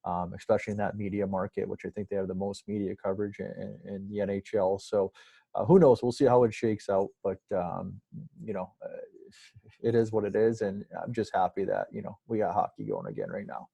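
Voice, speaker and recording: A man's voice: fairly low pitch, brisk, gravelly, mellow, breathy edge, dry recording. A man giving a casual, rambling sports interview as a guest broadcaster, in a hesitant, conversational way.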